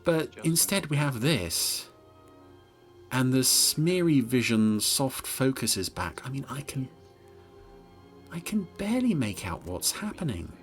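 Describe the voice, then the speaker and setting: A man hosting a podcast, giving wry, hesitant and expressive running commentary on a television episode. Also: mid-range voice, unhurried, faint music behind. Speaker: podcast host.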